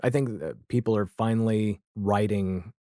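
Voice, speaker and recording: A man's voice: on the low side, moderate pace, dark tone, dry recording, clean studio sound. A man hosting a podcast, chatting casually about television in a candid, wry and emphatic way. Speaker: podcast host.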